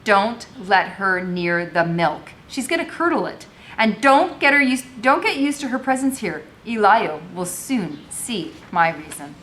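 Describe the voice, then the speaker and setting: A woman's voice: expressive, measured pace, fairly bright tone. A woman voicing a stern, cruel colonial mistress in a storybook read-aloud, commanding and indignant. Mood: bitter, scornful. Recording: noisy home recording with low rumble.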